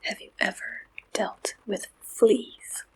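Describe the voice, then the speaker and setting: A woman whispering an ASMR roleplay as an attentive, businesslike dating service agent, asking questions softly and deliberately in a breathy voice. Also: natural voice, low-quality recording. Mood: gentle, attentive.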